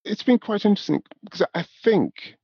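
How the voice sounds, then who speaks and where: A man, talking thoughtfully and in a measured way as an interview guest. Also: high voice, lively intonation, gravelly, breathy edge, no room sound, dull audio. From north of England, born in Newcastle. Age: 70s. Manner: thoughtful, measured, with repeated "you know". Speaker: podcast guest, music industry professional. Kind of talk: reflective interview conversation.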